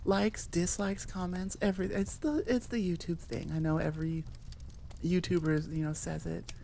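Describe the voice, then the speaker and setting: A woman talks to her listeners in a mellow, slightly low voice. She is casual and candid, rambling off the cuff with a self-deprecating streak. Faint noise sits behind her. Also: fairly even intonation, normal speed, gravelly. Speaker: YouTube audio roleplay creator. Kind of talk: casual, unscripted chat to listeners.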